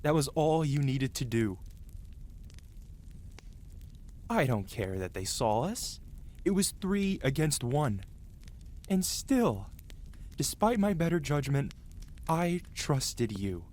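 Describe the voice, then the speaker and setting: A man's voice: medium pitch, sing-song, takes it slowly, a little mellow, a little hiss or hum. A man voicing an angry yet protective dragon who scolds a rider in a dramatic, emotional fantasy ASMR roleplay. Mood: angry, scolding.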